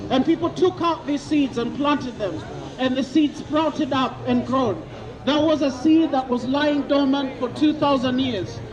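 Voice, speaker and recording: Street preacher, man from Kenya, very high voice, dull lo-fi sound, open-air square. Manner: repeats questions to passers-by, quotes scripture. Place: outdoors in a busy city square. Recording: lo-fi outdoor recording with crowd noise.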